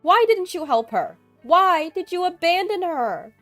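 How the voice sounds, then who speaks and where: A woman's voice: extremely high pitch, big pitch swings, unhurried, a bit bright, dry recording, soft background music, voice pushed up, full character voice. A woman voicing a furious, sobbing older mother-in-law who shrieks accusations down the phone. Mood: enraged.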